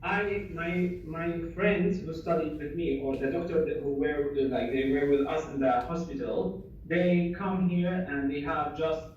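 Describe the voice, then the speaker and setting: A man's voice: a little high, fairly even intonation, measured pace, darkish tone, distant mic, muffled recording, slight background noise. Man on an echoey video call. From Syria. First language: Arabic. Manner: earnest, many fillers, restarts phrases. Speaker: interviewee, refugee and former assistant doctor. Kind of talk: casual conversational interview. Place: home in Germany.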